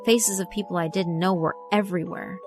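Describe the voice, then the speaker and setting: A woman's voice: fairly low pitch, steady tone, a little fast. A woman reading scripted first-person story narration for a visual novel. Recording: music behind the voice. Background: mallet and glockenspiel music.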